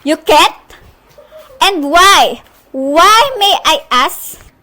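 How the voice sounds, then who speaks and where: A woman's voice: very high-pitched, expressive, extremely slow, sharp tone, exaggerated voice. A woman acting in an amateur suspense radio play as a nervous, irritable bedridden woman, complaining imperiously on the telephone. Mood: demanding, indignant.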